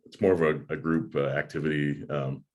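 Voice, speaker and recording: A man hosting a movie review chat in a casual, halting way, often repeating his words. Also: rumbling low, flat delivery, darkish tone. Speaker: host of a movie review channel. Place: home room with a desk, on a video call.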